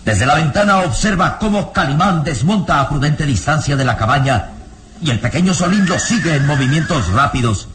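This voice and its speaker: A man narrating a scripted radio serial in a solemn, deliberate and dramatic manner. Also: fairly high pitch, animated, a bit bright, slight background noise. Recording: dramatic music behind the narration.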